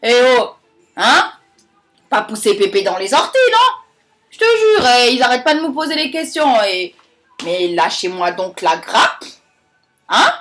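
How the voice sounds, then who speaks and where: A woman playing a brash, rambling young beauty queen with a thick Alsatian accent, crude and over the top, lurching between loud outbursts and low mutters. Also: sing-song, measured pace, pauses often, faint music behind. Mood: irritated, brash. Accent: Alsatian French. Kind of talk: comic monologue sketch.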